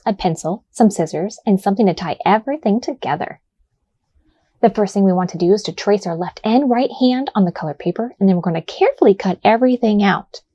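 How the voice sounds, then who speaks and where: A woman's voice: medium pitch, dark tone, no room sound. A woman from the library giving plain, step-by-step craft instructions for children. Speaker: library staff presenter. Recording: dull, dry lo-fi audio.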